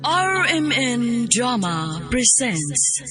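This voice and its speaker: A woman's voice: fairly high pitch, big pitch swings, extremely slow. A woman announcer delivering a scripted, dramatic introduction for a radio drama. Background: music.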